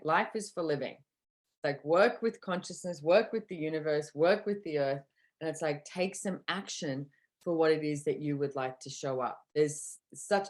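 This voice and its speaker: Low-voiced woman giving an earnest motivational talk. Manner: earnest, conversational, with asides and repeated catchphrases. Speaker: podcast host and workshop facilitator. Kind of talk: motivational talk to a live audience.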